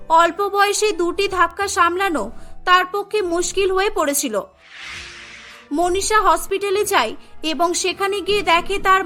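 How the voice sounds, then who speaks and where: A woman narrates a cartoon story in a very high, bright voice. Her delivery is scripted storytelling, with music playing underneath. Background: background music.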